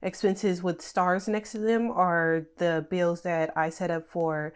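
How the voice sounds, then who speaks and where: A young woman whose voice sits a little low, sounding nasal and stuffed up from a cold. She talks in a relaxed, chatty way, with plenty of fillers, like a host talking through her planner. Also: takes it slowly, smooth. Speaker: budgeting channel host. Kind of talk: casual talk over budget planning.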